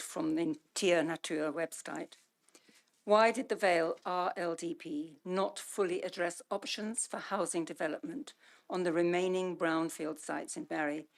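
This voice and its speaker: Hoarse gravelly woman, froggy voice, lowish, asking from the public gallery. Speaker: member of the public asking a question. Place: public gallery of council chamber.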